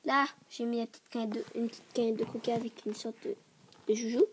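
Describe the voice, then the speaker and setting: Woman, stuffy from a cold, rambling casual vlog, hurried, raised pitch, audible noise and clicks. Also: fairly even intonation. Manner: hurried, rambling, often drops to a whisper. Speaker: vlogger showing a toy dollhouse. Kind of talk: casual show-and-tell vlog. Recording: clear background noise and clicks.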